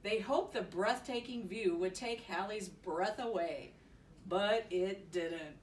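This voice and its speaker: A woman reading a children's bedtime story aloud in an expressive, playful way. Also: medium pitch, slow. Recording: roomy, distant mic with faint noise.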